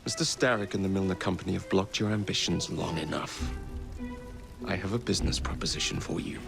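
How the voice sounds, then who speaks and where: An English actor playing a roguish young Victorian gang leader in a video game, casual and swaggering. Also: male voice, sits low, brisk, vocal fry, over music. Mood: guarded.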